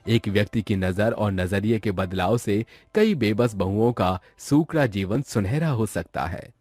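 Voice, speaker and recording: A man's voice: fairly low pitch, measured pace, dry recording. A man narrating a scripted story as its narrator. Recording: loud music behind the voice.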